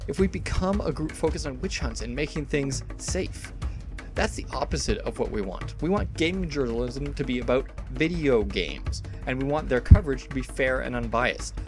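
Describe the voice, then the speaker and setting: Guy doing a sweary fed-up internet arguer, dramatic reading, mid-pitched voice, faint music under it. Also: animated, moderate pace, close to an everyday voice. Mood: exasperated, combative. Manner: argumentative, emphatic, sweary. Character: combative, exasperated, foul-mouthed online debater, adult man. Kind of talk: dramatic reading of an online argument.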